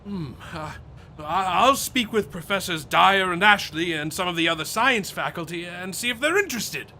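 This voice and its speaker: A man's voice: high-pitched, lively intonation, normal speed, pushed and bright, a little hiss or hum, heavily acted. A man playing an affectionate, hesitant, aging academic father in a radio play, with a genteel manner. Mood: hesitant, intrigued.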